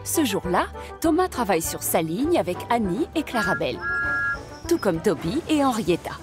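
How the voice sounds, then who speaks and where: Animated woman storyteller. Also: mid-range voice, moderate pace. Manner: expressive storytelling. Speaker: narrator. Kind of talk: scripted children's story narration. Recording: music behind the voice.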